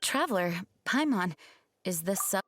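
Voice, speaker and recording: A woman's voice: mid-range voice, animated, a little slow, a bit bright, a little hiss or hum. A woman playing a dutiful, courteous young knight commander in an anime game, formal and sincere. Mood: serious. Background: background music.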